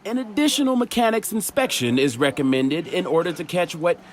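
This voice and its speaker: A man's voice: high voice, animated, a little fast, a little hiss or hum. A man reading a scripted TV news report as a reporter.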